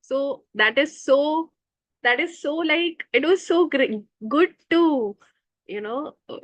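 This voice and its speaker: A woman's voice: high-pitched, animated, slow, dry recording. A woman at a club meeting chattily sharing a short story, with many fillers. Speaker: meeting participant. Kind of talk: personal anecdote.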